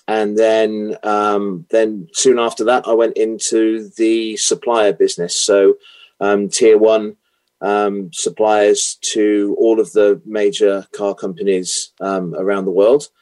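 A middle-aged man with a British accent, answering interview questions with many pauses, fillers and restarts. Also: on the low side, little pitch movement, a little mellow, low-quality recording. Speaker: guest, automotive consultant.

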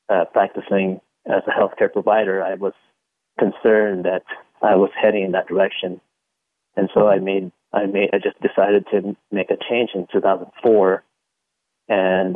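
A middle-aged man from the Philippines and Singapore, answering interview questions haltingly with many pauses. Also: sits low, no room sound. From born in the Philippines, raised in Singapore. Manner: halting, hesitant, pauses often. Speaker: interview guest, chiropractor. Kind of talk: conversational radio interview. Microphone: telephone. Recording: tinny phone line, dry.

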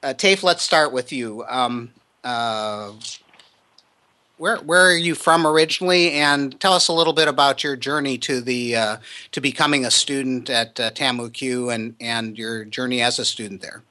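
A man hosting a radio talk show, speaking haltingly with many ums and uhs. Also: mid-range voice, steady tone, pushed and bright.

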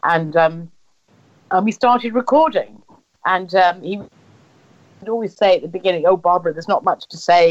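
A woman's voice: deep voice, darkish tone. English woman storytelling, slightly dull recording. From England. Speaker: interviewee recounting family history. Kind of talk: personal storytelling in conversation.

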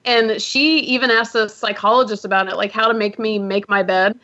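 A woman's voice: medium pitch, steady tone, a little fast, bright, forceful tone. A young adult woman from the US South, a podcast guest talking casually and laughing often at herself. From Georgia, US South. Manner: chatty, laughs often, self-deprecating. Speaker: podcast guest, business founder. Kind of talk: casual interview talk. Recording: muffled lo-fi audio.

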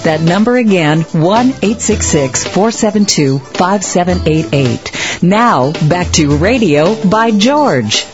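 Lady radio announcer doing a call-in promo, really lively delivery, music behind her. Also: fairly low pitch, slow pace, few pauses. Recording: dull lo-fi radio audio.

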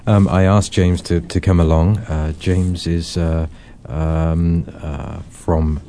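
A man's voice: low-pitched, little pitch movement, slow, warm tone, a little hiss or hum. A man hosting a religious discussion, moderating conversationally and often repeating words as he frames his questions. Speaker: host of a religious discussion series.

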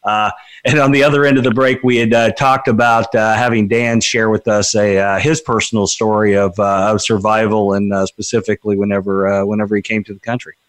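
Low-voiced man hosting with many fillers. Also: level tone, fairly quick, dry recording, rough recording. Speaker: radio talk show host. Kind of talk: live radio talk, conversational.

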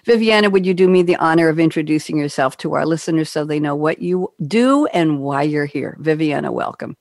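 A woman's voice: sits low, brisk, a little mellow, dry recording, clean studio sound. A woman hosting a podcast interview, introducing guests with effusive praise. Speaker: host.

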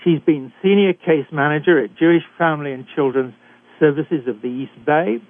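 An older British man hosting a talk radio show, introducing guests in a measured, deliberate way with occasional hesitations. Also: medium pitch. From Britain. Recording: dry, tinny phone-like line.

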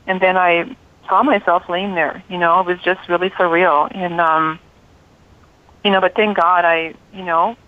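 A woman in her forties from Liberia, a radio show guest calmly telling her life story over the phone. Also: lowish voice, normal speed. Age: 40s. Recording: tinny phone line.

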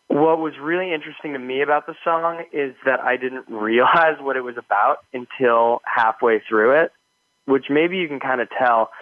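Musician talking over a tinny phone line. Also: male voice, mid-range voice, dry recording. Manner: reflective, hesitant, with fillers. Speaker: musician guest. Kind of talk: casual interview talk.

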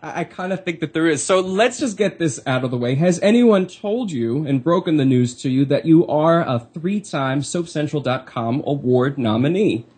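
A man hosting a live radio talk show, chatty and joking, with a stuffy, congested sound from a cold. His voice sits a little high for a man and has a husky edge. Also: expressive, moderate pace, hardly any pauses, darkish tone. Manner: chatty, jokey, laughs often.

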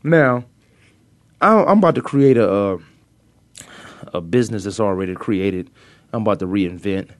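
A man with a Virginia accent hosting a casual sports talk show, arguing his points emphatically and repeating himself when excited. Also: lowish voice, normal speed, long pauses, no room sound.

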